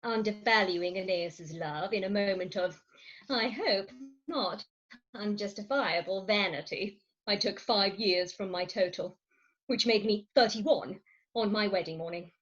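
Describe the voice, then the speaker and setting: A woman's voice: mid-range voice, measured pace, fairly bright tone, highs cut off. A woman playing a fretful, theatrical middle-aged Victorian wife in a stage farce, confiding her woes emphatically over a video call. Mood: flustered confession. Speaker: actor in an amateur staged reading.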